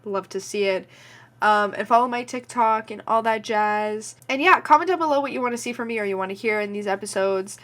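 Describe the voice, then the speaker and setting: A woman's voice: medium pitch, a little fast, a bit bright. A nineteen-year-old woman from Canada with a Canadian accent, rambling casually to camera in her vlog and filling her talk with "like" and "um". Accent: Canadian English. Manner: chatty, frequent "like" and "um" fillers. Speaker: vlogger and podcast host.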